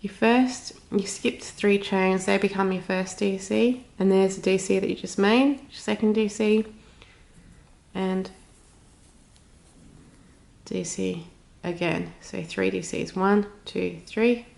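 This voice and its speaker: Snuffly, creaky-voiced woman teaching crochet. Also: medium pitch, steady tone, slow pace, darkish tone. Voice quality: snuffly, slightly stuffed-up nose. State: has a cold. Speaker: crochet tutorial host. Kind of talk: casual step-by-step craft tutorial.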